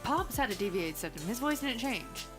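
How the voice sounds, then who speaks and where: A woman's voice: fairly high pitch, very animated, normal speed, music in the background. A woman co-hosting a casual podcast chat, teasing and matter-of-fact. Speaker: podcast co-host.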